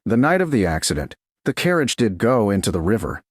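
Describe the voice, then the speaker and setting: Man voicing a calm, gentle servant woman. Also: on the low side, no echo, clean studio sound. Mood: composed, measured. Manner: soft, composed, measured. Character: calm, gentle woman, duke's lost love turned servant.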